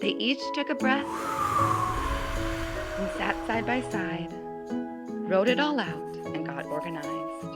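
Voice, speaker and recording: A woman reading a rhyming children's story aloud in an expressive, gentle, deliberate way, with music behind her. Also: mid-range voice. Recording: prominent music under the voice.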